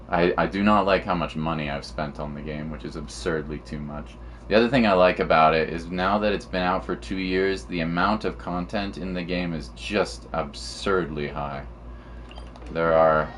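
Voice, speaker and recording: A man's voice: low-pitched, slight background noise. A man giving casual live commentary on a video game as a streamer, talking in an informal, rambling way. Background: game music.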